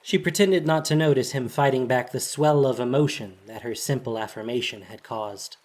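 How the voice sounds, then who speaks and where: A narrator with a husky, breathy voice reads a dramatic story in measured, solemn, deliberate phrases. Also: mid-range voice, darkish tone.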